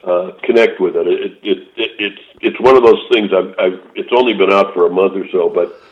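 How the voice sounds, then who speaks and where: A man's voice: deep voice, steady tone, a little fast. Older man telling stories over a phone line. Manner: rambling anecdotes with restarts. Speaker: author guest. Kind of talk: casual storytelling interview. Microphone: phone call.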